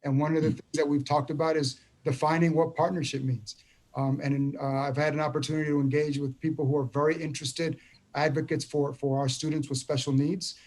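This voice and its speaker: 50s guy talking to a council meeting over video, stumbly and repeats himself a lot, kinda highish flat voice. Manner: halting, many repeated words and restarts. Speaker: school superintendent. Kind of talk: public meeting remarks.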